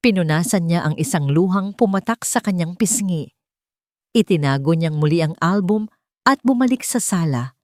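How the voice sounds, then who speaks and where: A woman reading scripted dramatic narration as a storyteller, in measured short phrases. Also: on the low side, darkish tone, no room sound, studio quality.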